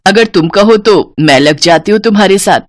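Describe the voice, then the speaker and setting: A woman's voice: medium pitch, moderate pace, no room sound, clean studio sound, close to an everyday voice. A woman voicing a practical older mother in a story, instructing firmly with motherly care. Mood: firm, polite. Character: older mother and mother-in-law, practical, nagging but caring.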